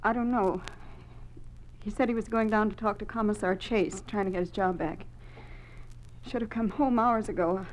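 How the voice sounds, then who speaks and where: An actress playing an anxious, frantic wife in a 1950s radio drama. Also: female voice, mid-range voice, normal speed, lightly acted, poor audio. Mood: frantic worry. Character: anxious, frantic wife searching for missing husband. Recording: lightly hissy 1950s radio recording.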